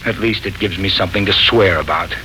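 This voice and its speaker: A man's voice: sits low, brisk, bright, forceful tone. A man acting an impatient, lovelorn suitor in a 1940s radio drama, hesitant, folksy and wryly self-mocking. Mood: wry resignation. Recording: noisy 1940s radio broadcast recording.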